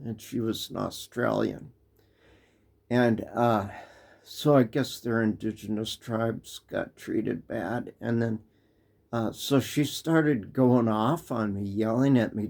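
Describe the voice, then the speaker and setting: An American man telling a personal story in a casual, halting way, full of restarts and fillers. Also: fairly low pitch, vocal fry, a little mellow. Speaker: vlogger. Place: living in Japan.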